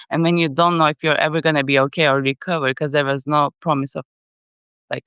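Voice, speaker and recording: A woman's voice: sits low, a bit bright, clean tone, dry recording. A woman from Turkey with a Turkish accent, a guest writer chatting casually on a live video call, thoughtful and searching for words.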